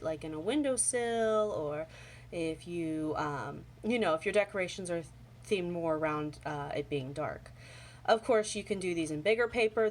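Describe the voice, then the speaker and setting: Hoarse young woman teaching origami. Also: fairly low pitch, slight background noise. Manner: soft-spoken, many ums, explains step by step. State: slightly hoarse from a cold. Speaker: origami tutorial host. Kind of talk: casual how-to demonstration. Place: home. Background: faint home sounds, toddler playing.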